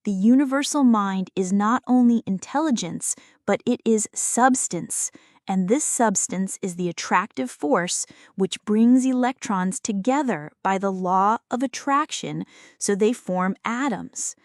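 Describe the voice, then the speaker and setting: A woman narrates an audiobook at a medium pitch, with each phrase measured and clearly enunciated. The delivery is explanatory and scripted, and the sound is very dry, with no room around it. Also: expressive, darkish tone, no room sound.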